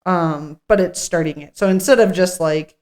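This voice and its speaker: A woman's voice: on the low side, moderate pace, a little mellow. A woman co-hosting a podcast, chatting casually through a script read-through in a blunt way, swearing freely. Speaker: podcast co-host.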